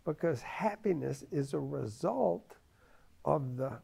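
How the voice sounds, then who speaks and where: A man in his seventies with a creaky voice, giving conversational religious teaching in a halting way, repeating phrases for emphasis. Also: fairly high pitch, expressive, dark tone. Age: 70s.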